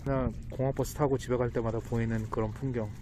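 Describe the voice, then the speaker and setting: A man with an average-pitched voice narrates a casual travel and food vlog. He speaks informally, as though thinking aloud. Clear ambient noise from the location sits behind his voice. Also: level tone, fast.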